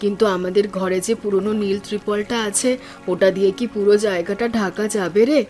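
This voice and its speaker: A woman voicing a practical, gentle, hardworking village mother in an animated story. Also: mid-range voice, brisk, lightly acted. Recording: voice over background music.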